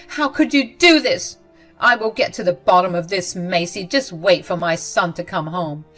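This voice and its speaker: A woman voicing a shrill, accusing mother-in-law. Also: normal speed, a bit bright, faint music behind, natural voice. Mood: outraged. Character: angry mother-in-law, older woman, self-pitying and spiteful.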